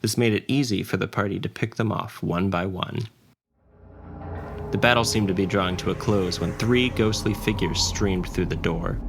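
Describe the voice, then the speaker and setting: Low, creaky-voiced male fantasy narrator. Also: noisy background. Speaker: narrator and dungeon master. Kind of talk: scripted fantasy story narration. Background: faint ambience and music.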